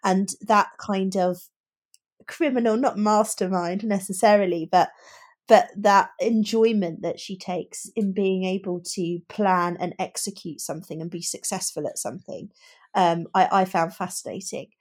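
Woman in an emphatic book chat, medium pitch. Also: fairly even intonation, moderate pace, a little mellow. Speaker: book podcast host. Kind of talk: casual book discussion.

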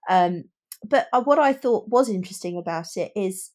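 A woman's voice: mid-range voice, lively intonation, moderate pace, darkish tone. A woman co-hosting a podcast in a casual book discussion, talking in an emphatic, opinionated and articulate way.

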